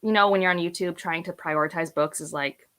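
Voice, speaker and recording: A woman's voice: lowish voice, fairly quick, a bit bright. A woman in her thirties chatting casually and emphatically about books, rambling and opinionated. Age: 30s. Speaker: book vlogger on a read-along panel. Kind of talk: casual book chat.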